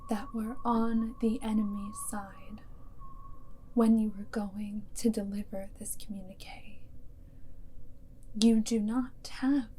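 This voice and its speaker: A woman playing an aloof, commanding vampire girlfriend and wartime spy in an ASMR roleplay, speaking softly and deliberately in a breathy, often whispered voice. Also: mid-range voice, a little hiss or hum, lightly acted, rough recording. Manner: slow, deliberate, hypnotic instructing.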